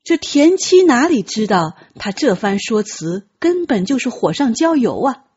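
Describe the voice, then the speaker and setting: Husky, expressive woman narrating. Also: on the high side, a little slow, lo-fi sound. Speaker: audiobook narrator. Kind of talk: dramatic scripted narration.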